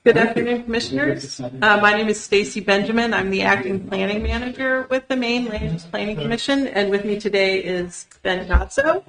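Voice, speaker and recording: A woman's voice: medium pitch, brisk, fairly bright tone. A woman, a state land use planner, gives an organized presentation with "uh" fillers to county commissioners at a public meeting. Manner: organized, explanatory, with frequent "uh" fillers. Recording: roomy public meeting recording.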